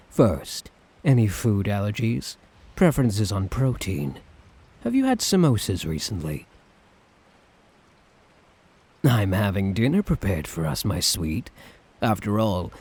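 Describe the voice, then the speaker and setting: A suave, lovestruck tyrant, played by a man for an ASMR roleplay, speaks in an unhurried, very husky murmur. He is theatrical and teasing, lilting widely as he dotes with condescending affection and a sadistic edge. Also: fairly low pitch, dark tone, heavily acted. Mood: doting, teasing. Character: suave, sadistic, doting male tyrant, obsessively in love.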